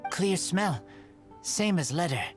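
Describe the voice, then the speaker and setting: A woman's voice: on the low side, lively intonation, slow. A woman voicing an earnest feral boy in an anime game, speaking in blunt, broken phrases. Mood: focused. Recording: music behind the voice. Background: prominent game music.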